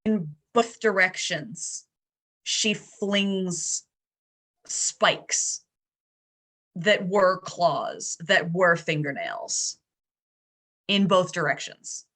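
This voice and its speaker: A woman playing a tabletop roleplaying game, improvising narration in deliberate broken phrases and chatting casually at the table. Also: on the low side, fairly bright tone.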